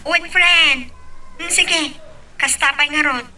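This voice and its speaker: An actress as a young woman's supportive friend, high and extremely bright in tone. She gives reassuring advice in radio-drama style, sounding a little away from the mic. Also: slow pace, exaggerated voice. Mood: concerned. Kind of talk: Ilocano radio love drama acting. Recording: distant from the mic.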